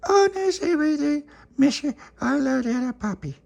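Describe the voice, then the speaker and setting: A man voicing a tiny babbling toddler girl in a children's story, in a very high squeak. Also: expressive, moderate pace, gravelly, a little mellow, full character voice. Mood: wistful.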